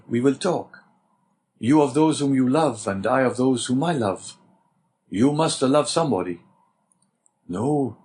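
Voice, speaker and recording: A man's voice: mid-range voice, darkish tone, slight background noise, pitched above the performer's own voice, lightly acted. A man voicing a desperate young male prisoner, pleading and emotional, in an audiobook reading.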